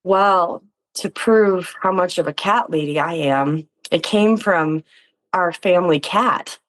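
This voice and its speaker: A woman speaks as an interview guest in a slightly low voice. Her style is casual and informal, with a self-effacing way of talking. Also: takes it slowly, low-quality recording. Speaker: interview guest, tabletop game performer. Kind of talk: casual chat.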